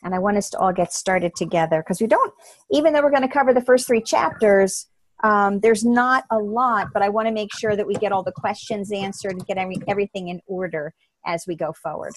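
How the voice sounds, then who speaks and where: A woman's voice: mid-range voice, brisk, no room sound. A woman from the New Jersey shore, hosting an online book study as a casual video call. She is upbeat and welcoming and often laughs.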